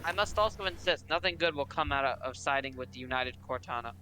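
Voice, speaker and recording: A man voicing an argumentative free-market minister in a fan reading of a political game, formal but slightly halting. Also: medium pitch, bright, forceful tone, slight background noise, natural voice. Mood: argumentative, insistent. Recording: music behind the voice.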